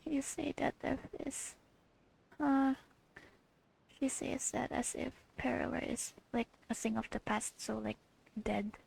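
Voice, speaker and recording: A woman's voice: high voice, fairly even intonation, takes it slowly, creaky, pauses often, darkish tone, breathy edge, rough recording. A woman giving casual, off-the-cuff commentary as she plays through a video game story. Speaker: game streamer reacting to a story quest.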